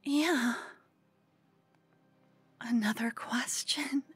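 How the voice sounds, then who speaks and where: A woman playing a cute, obsessed con-woman fangirl in a comedic ASMR roleplay, soft, often whispery, eager and cheekily salesy. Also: fairly high pitch, extremely slow, husky, soft background music, full character voice. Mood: pleading, curious. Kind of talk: ASMR roleplay, comedic one-sided dialogue.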